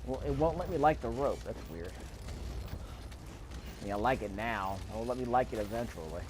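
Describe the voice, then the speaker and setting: Male gamer, mid-pitched, casual running commentary, thinks out loud, faint game ambience behind. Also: expressive, a little fast, noisy background. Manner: musing aloud, repeats phrases. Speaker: gaming video host. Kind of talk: casual, off-the-cuff gameplay commentary. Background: faint video game ambience.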